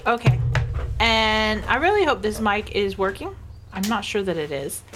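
A woman's voice: medium pitch, expressive, normal speed, background noise. A woman co-hosting a podcast in casual chat, rambling with lots of fillers and giggles, tipsy and socially drained. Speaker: podcast co-host.

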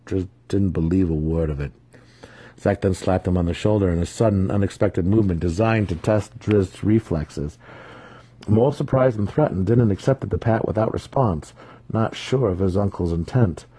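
A man reads fantasy fiction as a dramatic narrator. His voice is deep and dark, with a husky grain running through it. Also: normal speed, no room sound, poor audio. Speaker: audiobook narrator.

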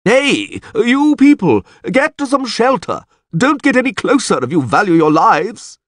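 A man's voice: medium pitch, huge pitch swings, moderate pace, sharp tone, breathy edge, no room sound, studio quality, voice pushed up, exaggerated voice. A man voicing a flustered, bossy town official in an audiobook, grumbling and barking orders. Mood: urgent shouting. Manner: blustering, grumbling. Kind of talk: audiobook character voice.

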